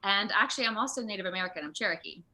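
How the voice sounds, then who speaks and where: A woman giving a casual interview as a guest actor, expressive and giggly, acting out her anecdotes dramatically. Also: medium pitch, rapid-fire, poor audio. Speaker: interview guest, video game voice and motion capture actor. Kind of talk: casual animated interview chat.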